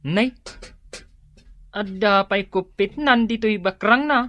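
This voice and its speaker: A man's voice: high voice, takes it slowly, lo-fi sound, a little hiss or hum. A man playing a fussy repairman in an Ilocano radio comedy drama, with an Ilocano accent. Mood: fussy, reproachful. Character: fussy repairman inspecting a battered stove.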